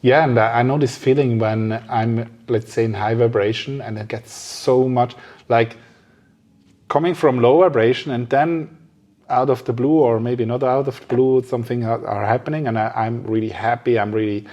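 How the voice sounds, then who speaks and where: A man hosting a casual interview, speaking haltingly as he searches for his words. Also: mid-range voice, fairly even intonation, a little mellow. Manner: halting, searching for words, frequent short listener responses. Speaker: podcast host, interviewer.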